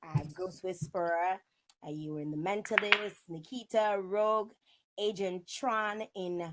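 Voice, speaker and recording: Woman, thirties, mid-range voice, chatty talk show host, expressive, video call. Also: medium pitch, unhurried, heavy background noise. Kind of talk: casual chat interview. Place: indoor room on a video call.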